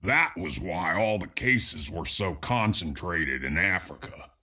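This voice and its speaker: Husky, forceful, low-voiced man. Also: animated, measured pace. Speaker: podcast co-host. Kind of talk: casual chat.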